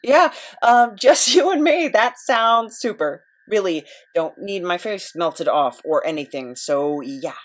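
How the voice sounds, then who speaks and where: A woman playing an awkward, emotional misfit in an audio drama, hesitant and stammering, quick to put themselves down. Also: medium pitch, animated. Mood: awkward, reluctant. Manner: hesitant, stammering, self-deprecating. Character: awkward, emotional, rambling misfit named Nap.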